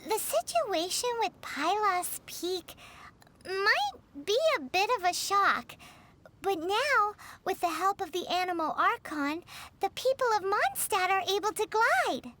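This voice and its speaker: A woman's voice: very high-pitched, big pitch swings, slow pace, bright, forceful tone, heavily acted. A woman voicing a tiny childlike fairy companion in a video game, cutesy, naive and curious. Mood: curious, pondering. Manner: cutesy, refers to self in third person. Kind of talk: video game character dialogue. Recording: music behind the voice. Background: music.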